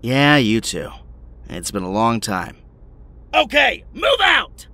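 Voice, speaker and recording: A man's voice: mid-range voice, wildly swooping pitch, slow, sharp tone, shouting, heavily acted. A man playing a weary but firm resistance leader in an audio drama. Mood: firm, commanding.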